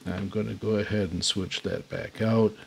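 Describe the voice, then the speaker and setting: A man giving an unscripted hands-on demonstration as host, speaking haltingly with very frequent uhs. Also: on the low side, fairly even intonation, creaky, noisy background. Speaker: host demonstrating shortwave antennas. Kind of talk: unscripted product demonstration commentary. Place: indoors at a radio desk.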